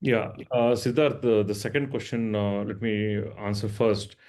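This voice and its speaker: Low-voiced male finance executive. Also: steady tone, unhurried, a little mellow. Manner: explanatory, often checks with "right?". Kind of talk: earnings call answers to analysts.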